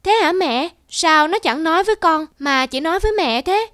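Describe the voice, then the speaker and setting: A woman's voice: very high voice, lively intonation, normal speed, a bit bright, no echo, studio quality. An actress playing a curious, innocent little girl in a cartoon, questioning in a childlike way.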